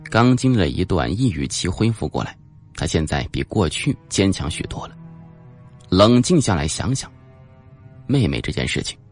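A man narrating a suspense novel as an audiobook, with a dramatic, deliberate storytelling delivery. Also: deep voice, very animated, soft background music.

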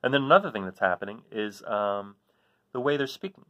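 An American man in his forties from New Jersey, chatting casually and haltingly as he explains things to children. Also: on the low side, animated, bright, forceful tone. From New Jersey, United States. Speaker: read-aloud host. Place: small living room, seated in an armchair.

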